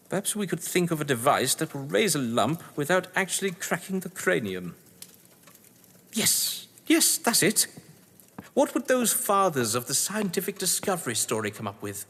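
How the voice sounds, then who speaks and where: An actor playing an older, upper-class British crime novelist, scheming and sardonic, in florid, pompous stage-drama style. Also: male voice, on the high side, fairly bright tone, exaggerated voice. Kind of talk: stage-play audio drama acting. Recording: echoey hall reverb.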